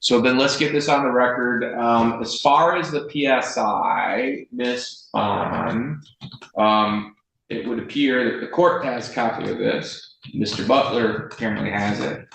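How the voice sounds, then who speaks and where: A low, creaky-voiced American man speaks as a presiding judge. He chooses his formal words deliberately and pauses often, firm throughout. The sound is roomy and echoing. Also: steady tone, fairly bright tone. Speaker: judge presiding over a court hearing. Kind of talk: formal courtroom speech on the record. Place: indoor courtroom. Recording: roomy echo, faint noise, courtroom audio.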